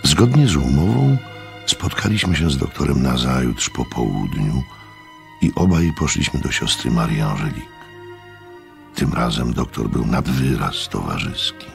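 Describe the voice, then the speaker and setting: An actor playing an observant, sceptical gentleman who narrates a radio mystery in a reflective storytelling manner. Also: a bass voice, animated, normal speed, vocal fry, soft background music, full character voice.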